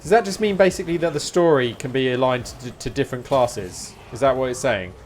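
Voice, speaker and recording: A man's voice: mid-range voice, fairly quick, a little hiss or hum. A man with a British accent streaming a game, giving casual, chatty commentary and reacting aloud as he goes. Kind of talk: casual live gameplay commentary.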